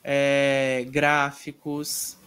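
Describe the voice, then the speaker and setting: A man teaching an online mini-course in a measured, explanatory way, often pausing on hesitation fillers. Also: mid-range voice, monotone, fairly bright tone, clear, resonant tone. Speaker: course instructor.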